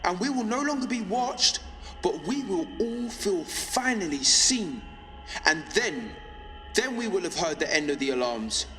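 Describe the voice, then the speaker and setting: A man playing a young pirate-radio rebel, fearful but defiant, in a measured, emphatic dramatic monologue. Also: high voice, very animated, sharp tone, slight background noise, full character voice. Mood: solemn, defiant. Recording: long reverb, music underneath.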